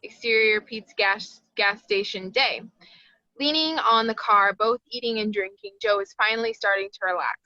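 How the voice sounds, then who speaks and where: A woman's voice: mid-range voice, normal speed, bright, forceful tone, no echo, highs cut off. A woman reading screenplay action lines as scripted narration. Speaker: stage directions reader.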